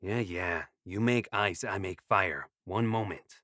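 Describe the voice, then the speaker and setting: A man's voice: low-pitched, slow pace, sharp tone, no echo, clean studio sound, heavily acted. A man playing a stubborn, cagey younger elf brother in a fantasy audio drama, insistent and exasperated. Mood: dismissive.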